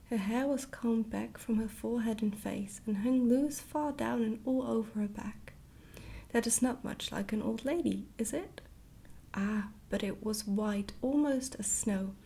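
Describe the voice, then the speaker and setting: A woman gently reading a storybook aloud in a hushed, whispered ASMR style. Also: on the high side, vocal fry, slightly rough. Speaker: storybook reader, ASMR creator.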